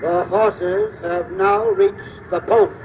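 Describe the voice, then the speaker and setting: An actor playing an excited racetrack announcer calling a horse race in clipped chants for an old radio drama. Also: male voice, sits high, slow. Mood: announcing. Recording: muffled old radio recording, loud noise.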